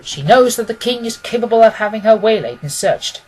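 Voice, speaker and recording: A man reading an audiobook, voicing a confident, analytical gentleman detective with an emphatic manner. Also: sits high, expressive, brisk, a little hiss or hum, pitched above the performer's own voice, low-quality recording. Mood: assured, analytical. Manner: deductive, emphatic, self-assured.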